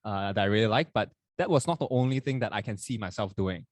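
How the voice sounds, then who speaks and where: Relaxed, chatty young man co-hosting. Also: on the low side, fast, no echo, faint music behind. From not from Hong Kong. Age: 20s. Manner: relaxed, chatty, self-correcting. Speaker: podcast co-host. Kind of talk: casual chat at a live event. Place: live university event.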